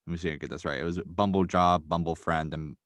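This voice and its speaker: A man's voice: sits low, fairly even intonation, quick pace, fairly bright tone, no echo. A man, a writer, guest on a podcast, talking casually and rambling, hedging often and filling gaps with "um" and "like".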